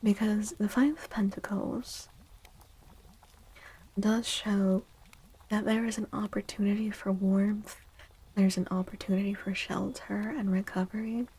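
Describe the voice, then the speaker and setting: Woman, soft-spoken ASMR, breathy and whispery, very slow, soothing, deliberate pauses. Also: medium pitch, level tone, rough recording. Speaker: ASMR tarot reader.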